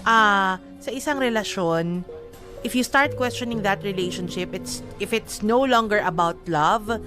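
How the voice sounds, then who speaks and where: A woman's voice: mid-range voice, measured pace. A woman with a Filipino accent co-hosting a casual radio advice chat, speaking emphatically and switching between Tagalog and English. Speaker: radio co-host. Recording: music playing behind the voice. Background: background music.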